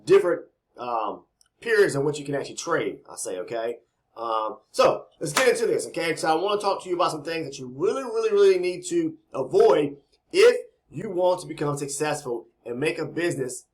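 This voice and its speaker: Slightly raspy man talking about trading. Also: fairly high pitch, sing-song, moderate pace. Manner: rambling, repeats words, says "okay?" often. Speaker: trading educator. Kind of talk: casual talking-head explainer.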